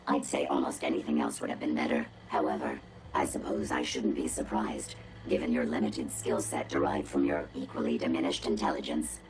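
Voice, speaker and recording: A condescending female robot from a video game, speaks in an extraordinarily deep, synthetic filtered voice. She is pompous and precise, treating humans with cold, sardonic contempt. Distinct noise sits under the voice. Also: steady tone, brisk, darkish tone, poor audio. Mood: disdainful. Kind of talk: video game cutscene acting.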